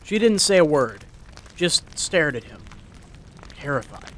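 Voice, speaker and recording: A man's voice: a little high, big pitch swings, measured pace, a bit bright, slight background noise, rough recording. A man reading a scripted story as a dramatic narrator. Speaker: narrator, reader. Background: story sound effects.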